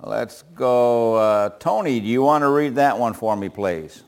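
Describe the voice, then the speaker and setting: A man's voice: mid-range voice, lively intonation, unhurried, creaky. Chuckling man leading a Bible study. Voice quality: loud voice. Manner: chuckles often, asks the group questions. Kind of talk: group Bible study discussion.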